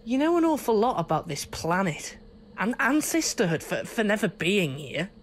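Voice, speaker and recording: A man's voice: sits high, sing-song, moderate pace, a little hiss or hum. A man playing a grumbling city boy out of his element in an audio drama. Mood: uneasy, complaining.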